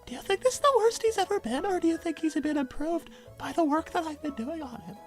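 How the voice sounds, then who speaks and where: Exasperated cartoon mom played by a woman, very high, animated pitch, firm and sardonic, livestream with faint music underneath. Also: fast. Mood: defensive. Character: Davina, stern no-nonsense exasperated mother collecting money. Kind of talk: improvised character livestream.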